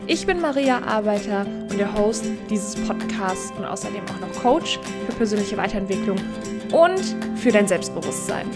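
A woman's voice: mid-range voice, animated, normal speed, over loud music. A woman hosting a podcast in a casual, chatty, informal way with effusive wording. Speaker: podcast host and personal development coach. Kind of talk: casual podcast interview talk.

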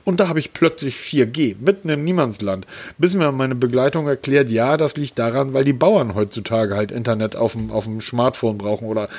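A man from Hamburg co-hosting a casual tech podcast, telling stories with sarcastic, animated rants. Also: mid-range voice, moderate pace. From Hamburg, Germany. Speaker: podcast co-host.

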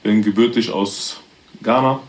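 A man's voice: on the low side, unhurried. A man in his thirties from Germany, chatting casually on a podcast with a loose, rambling manner. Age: 30s. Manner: relaxed, rambling, with fillers and laughs. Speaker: podcast guest.

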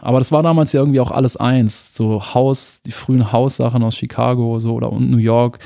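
Relaxed man with a dark dry voice. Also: medium pitch, brisk, creaky, no echo. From Germany (Munich). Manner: relaxed, rambling, laughs often, uses slang. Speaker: guest. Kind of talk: casual conversational interview.

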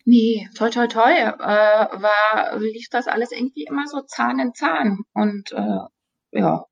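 Husky woman over dull audio. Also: fairly high pitch, slow pace. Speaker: voice actress guest. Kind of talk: casual interview talk.

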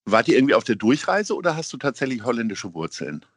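A man's voice: medium pitch, big pitch swings, fast talker, husky, no room sound, studio quality. A man hosting a podcast, reading a scripted intro and then asking a guest friendly, informal questions. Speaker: podcast host. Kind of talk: phone interview podcast.

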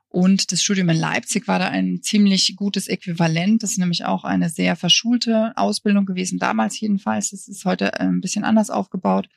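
Journalist guest, woman from Bavaria, gravelly, reflective, dry close recording. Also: medium pitch, no echo. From Bavaria, Germany. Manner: thoughtful, frank, hedges with "ich glaube". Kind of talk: reflective interview answers.

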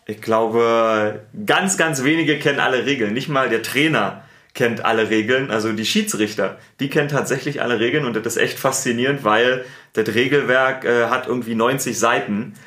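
A man with a Berlin accent, a sports TV presenter and editor, answering interview questions in a relaxed, chatty way with many fillers. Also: mid-range voice, normal speed, echoey room. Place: studio.

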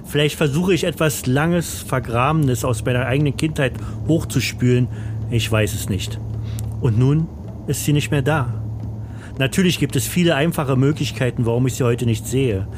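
A man playing a dull, solitary accountant in a thriller audio drama, narrating in a measured, sombre way. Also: medium pitch, background noise, natural voice. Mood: uneasy, brooding. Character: dull, solitary male accountant, rigid and uneasy.

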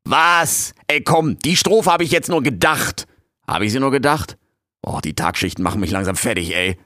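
A man's voice: mid-range voice, expressive, moderate pace, bright, forceful tone, no room sound, clean studio sound, pitched above the performer's own voice. A man voicing a cheeky male raccoon intern who grumbles in street slang. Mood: startled.

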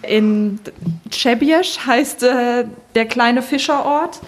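A woman's voice: on the high side, takes it slowly. German woman, guest on a chat show, lots of ums, a bit hesitant, faint hiss behind. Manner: hesitant, many fillers, gentle laughs. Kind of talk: casual talk-show chat.

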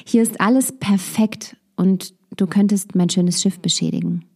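A woman's voice: medium pitch, steady tone, unhurried, darkish tone, slightly rough. A woman voicing Pride, a vain male figure in a fable who haughtily refuses. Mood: haughty. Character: vain male Pride, fussy about perfection.

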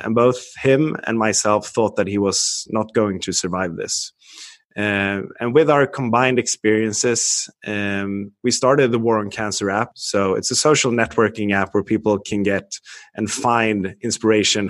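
A man's voice: lowish voice, fairly bright tone. A man from Sweden hosting a podcast, reading a scripted intro and then chatting loosely with fillers. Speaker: podcast host. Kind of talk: podcast interview.

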